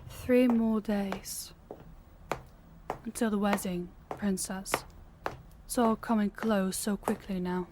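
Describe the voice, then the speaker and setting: Woman acting guarded young princess, mid-pitched. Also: steady tone, takes it slowly, pauses often, background noise, close to an everyday voice. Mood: guarded, gentle. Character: guarded young rival princess, reluctant but caring bride-to-be. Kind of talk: intimate fantasy audio roleplay.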